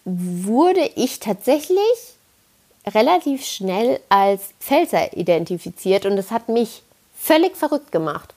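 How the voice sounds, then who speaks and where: A young woman from the Palatinate talks into a podcast mic with a faint Palatinate accent. She chats in a loose, self-mocking way, often starting a sentence over. Her voice sits at an ordinary pitch for a woman. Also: very animated, measured pace, a little mellow. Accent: slight Palatinate German. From Palatinate, Germany. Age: 20s. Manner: chatty and self-ironic, rambling with many restarts. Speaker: podcast host. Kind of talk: casual podcast monologue. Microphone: podcast microphone.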